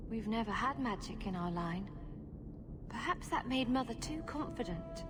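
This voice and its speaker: A woman's voice: a little high, lively intonation, over music, natural voice. Formal, contrite noblewoman played by an actress. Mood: regretful. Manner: formal, measured. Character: proud, contrite noblewoman making amends. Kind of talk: video game cutscene acting.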